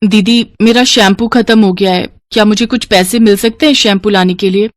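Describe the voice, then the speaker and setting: A woman voicing a gentle, devoted young married younger sister who speaks politely and earnestly in a story. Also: medium pitch, steady tone, brisk, no room sound, lightly acted. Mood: polite asking.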